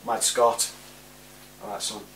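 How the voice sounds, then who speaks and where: A man's voice: on the low side, level tone, slow pace, a bit bright. A man in his forties talking casually to camera, rambling in a matey, informal way. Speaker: amateur video presenter. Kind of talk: casual chatty shout-outs to camera. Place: small living room, indoors. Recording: roomy, distant mic with hum.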